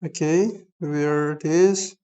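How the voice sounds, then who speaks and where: Young Pakistani man, Urdu native, demoing an app; smooth, level delivery, halting and explanatory. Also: on the high side, fairly even intonation, warm tone. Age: young adult. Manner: halting, explanatory, starts many phrases with "so". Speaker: app reviewer. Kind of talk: screen-recorded app tutorial.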